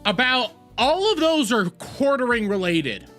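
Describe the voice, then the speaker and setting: A man hosting a livestream, talking in a rambling, profane and excitable way while greeting his chat. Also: extremely high pitch, measured pace, soft background music. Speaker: livestream host.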